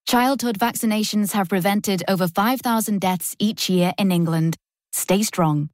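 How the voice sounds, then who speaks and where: A woman's voice: medium pitch, unhurried, a little mellow, no echo. Female public-information read, mid register, close studio sound with no room. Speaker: public health advert announcer. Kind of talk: scripted informational narration.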